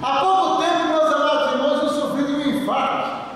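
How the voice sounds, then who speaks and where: An older male pastor with a Brazilian Portuguese accent, preaching emphatically with a fairly high voice, repeating key words and slowing down to stress them. The sound is distant and washed in the long reverb of a large church, with steady noise underneath. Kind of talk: sermon. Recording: echoey church hall from far off, with noise.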